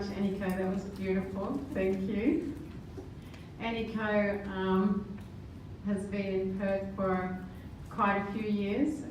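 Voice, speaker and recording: A middle-aged woman from the Netherlands gives a motivational business presentation to a live audience. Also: mid-range voice. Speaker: business speaker. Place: roomy live event venue. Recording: echoey, distant, noisy room recording.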